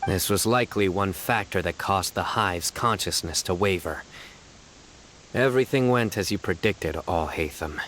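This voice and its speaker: A man voicing a stern male general and law enforcer who speaks in a terse, calm and serious way. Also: sits low, moderate pace, a little hiss or hum. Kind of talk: game voice acting.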